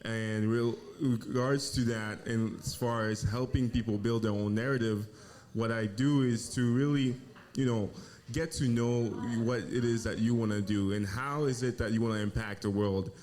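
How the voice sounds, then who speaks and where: A man from Haiti, a financial planner, talking in an earnest, measured way as a guest. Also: medium pitch, fairly even intonation, a little mellow. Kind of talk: casual interview.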